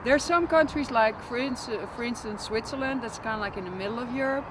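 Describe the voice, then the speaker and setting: Dutch woman chatting to camera outside, halting, restarts a lot, english is her second language. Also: on the high side, background noise. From the Netherlands. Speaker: massage teacher. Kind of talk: casual talk to camera and massage demonstration. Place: outdoors in front of a building.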